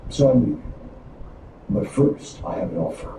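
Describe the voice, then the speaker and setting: An actor playing an aloof, self-assured master swordsman in a video game, speaking formally and with measured authority. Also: natural voice. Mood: cool, grave. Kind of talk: dramatic video game voice acting. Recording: echoey, distant, noisy game capture.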